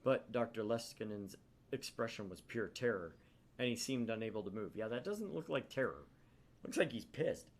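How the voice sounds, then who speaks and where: A man's voice: on the low side, expressive, normal speed. A man hosting a gaming channel, narrating a visual novel aloud with theatrical, irreverent casual asides.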